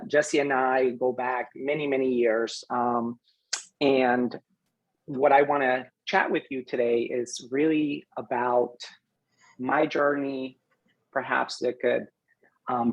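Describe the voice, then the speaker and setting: Middle-aged man, from Peru, program director, slightly raspy tone, measured reflective delivery with fillers. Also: mid-range voice, highs cut off. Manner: reflective, unhurried, frequent fillers. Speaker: program director giving a graduation message. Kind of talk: recorded address to graduating students.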